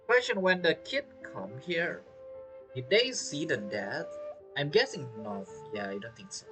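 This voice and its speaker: A man's voice: a little high, very animated, a little slow, music in the background. A man giving casual playthrough commentary as a gaming streamer, chatty and musing aloud.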